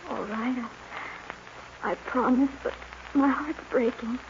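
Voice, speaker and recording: An actress playing a devoted, lovesick young rancher's daughter in a 1940s western radio drama. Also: female voice, high voice, measured pace. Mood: pleading, heartbroken. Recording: muffled hissy 1940s radio recording.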